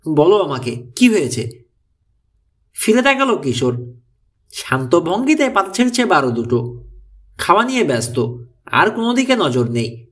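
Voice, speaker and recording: A man with a fairly high voice narrates an audiobook thriller. His delivery is highly animated and suspenseful, with pitch that moves around a lot as the scripted story unfolds.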